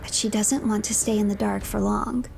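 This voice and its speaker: A woman narrating a horror game as a dramatic third-person story, with breathy dips toward a whisper. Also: mid-range voice, steady tone, normal speed, background noise.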